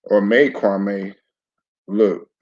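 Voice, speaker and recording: A man hosting a casual live sports talk stream, hoarse and raspy from a sore throat, clearing his throat often and talking in a laid-back, stop-start way with many repeated words. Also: lowish voice, steady tone, drawn-out words, a little mellow. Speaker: sports commentary channel host. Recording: dull lo-fi home recording.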